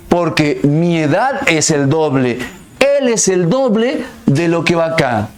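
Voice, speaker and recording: A man teaching math in an online class, walking through each step and asking his students questions along the way. His voice sits high and jumps up and down to stress key words. He takes his time. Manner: explains step by step with frequent rhetorical questions; lively, swings his pitch to stress words.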